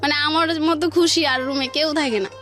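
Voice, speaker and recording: A woman's voice: high-pitched, fairly even intonation, normal speed, fairly bright tone. A woman with a Bangladeshi accent telling a personal story informally and colloquially, stringing events together with quoted dialogue. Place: radio studio. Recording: faint music behind. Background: faint music.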